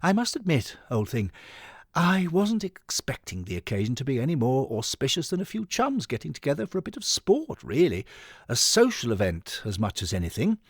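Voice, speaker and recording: Swooping, effusive old Victorian gentleman. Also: medium pitch, huge pitch swings, moderate pace, no room sound, clean studio sound, exaggerated voice. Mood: flustered, musing. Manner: dithering, old-chum endearments, breathy swoons. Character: effusive elderly Victorian gentleman football club founder, fussy, sentimental. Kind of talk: dramatic audiobook monologue. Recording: music behind the voice.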